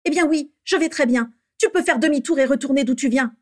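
A woman's voice: fairly high pitch, normal speed, darkish tone, pitched above the performer's own voice, close to an everyday voice. A woman voicing a fiery, scornful young witch in a storybook, snapping and mocking.

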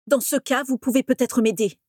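A woman's voice: on the high side, a little fast, darkish tone, dry recording, pitched above the performer's own voice, lightly acted. Determined young male wizard for an audiobook, read by a woman, insistent and earnest, dry studio sound. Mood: urgent. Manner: firm, insistent. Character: determined, urgent young man, former pupil wizard.

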